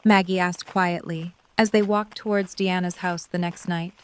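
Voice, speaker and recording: A woman's voice: on the low side, fairly even intonation, moderate pace, slight background noise. A woman reading scripted third-person story narration for an audio drama.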